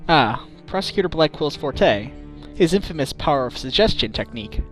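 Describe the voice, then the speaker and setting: A man playing an earnest, expressive defense lawyer, easily baffled, in a video game fan dub. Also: on the high side, normal speed, faint music behind, close to an everyday voice. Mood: earnest, measured. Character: earnest, reactive male defense lawyer, mildly exasperated.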